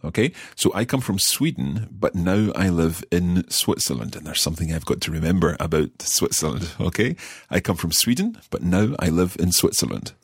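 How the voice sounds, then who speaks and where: A man hosting a language podcast lesson, speaking clearly and patiently and repeating phrases slowly. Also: low voice, very animated, no room sound, studio quality. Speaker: language course host. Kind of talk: scripted podcast lesson chat.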